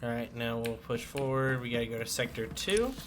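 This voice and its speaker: A man's voice: mid-range voice, lively intonation, brisk, noisy background. A man giving casual gameplay commentary as a streamer, chipper and full of playful asides. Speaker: gaming streamer, host.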